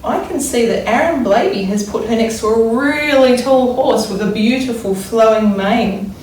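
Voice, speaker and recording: A woman's voice: fairly high pitch, a little mellow. Woman reading to children in an echoey room. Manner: deliberate, wondering aloud, dramatic emphasis when reading. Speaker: primary school teacher reading a storybook. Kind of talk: expressive children's read-aloud with think-aloud explanations. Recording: echoey room, distant mic, faint noise.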